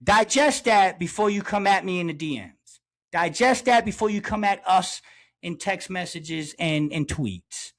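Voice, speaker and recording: A man in his mid-forties with a notably high-pitched voice. He talks like a sports podcast host, casual and slangy. He drives his points home by repeating short phrases with emphasis. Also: lively intonation, unhurried, a bit bright. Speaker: podcast host, basketball coach.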